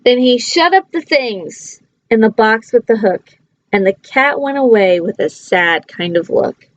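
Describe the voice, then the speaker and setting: A woman's voice: a little high, lively intonation, a little slow, lo-fi sound. A woman reading a picture book aloud to young children like a teacher, expressively, with simple chatty asides. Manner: simple, child-directed phrasing.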